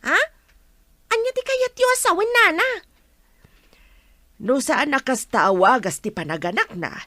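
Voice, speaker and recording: A woman performs a young village gossip in a radio play, with a high, bright voice. She is inquisitive and animated, swooping up into her questions. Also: a little slow, no echo, studio quality, exaggerated voice. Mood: probing. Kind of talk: radio drama dialogue.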